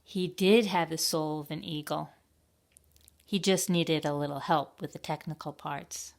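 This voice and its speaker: A woman reading a picture book aloud to young children at a library storytime, expressively, pausing to ask them questions. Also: low voice, fairly even intonation, gravelly.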